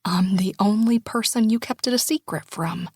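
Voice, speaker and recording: Tender tearful mother, read by a woman, soft and breathy, plain pitch, dry booth. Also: normal speed, no echo, close to an everyday voice. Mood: hurt. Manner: pleading, heartfelt. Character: loving small-town mother, tearful, tender. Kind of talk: audiobook character dialogue.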